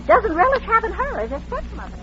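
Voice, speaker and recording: Radio drama role: homespun small-town busybody, very animated, noisy old recording. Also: female voice, very high-pitched, fast, muffled recording. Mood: chatty, gossipy. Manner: gossipy, homespun. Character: chatty, folksy small-town gossip.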